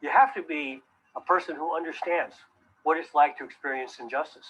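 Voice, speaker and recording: A man's voice: fairly high pitch, a little fast, fairly bright tone, slight background noise. A man who works as a public defender, speaking earnestly and steadily in a recorded testimonial, on dull lo-fi audio.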